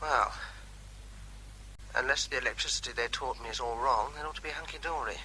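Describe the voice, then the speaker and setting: An army captain at work on a bomb in a radio play. His voice is very sharp and bright as he reports each careful step haltingly, with dry wit. The recording has slight noise. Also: mid-range voice, poor audio. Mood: cautious, wry. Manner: halting, thinking aloud, wry. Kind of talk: radio drama, running report by field telephone.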